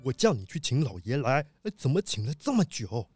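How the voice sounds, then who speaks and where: An actor playing a fussy, flustered, deferential butler in a children's audio drama, stammering and formal. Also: male voice, mid-range voice, big pitch swings, a little fast, a little mellow, husky, no room sound, faint music behind.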